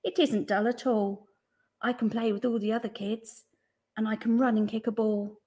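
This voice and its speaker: Young storybook lad played by a woman: eager, exclaiming, raised pitch. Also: flat delivery, moderate pace, warm tone, breathy edge. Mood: delighted.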